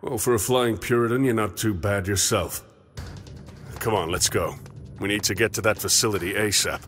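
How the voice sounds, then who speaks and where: Low, gruff space marine. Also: male voice, moderate pace, fairly bright tone, faint music behind. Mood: wry, resolute. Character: gruff, sardonic male marine in combat suit. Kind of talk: video game voice acting.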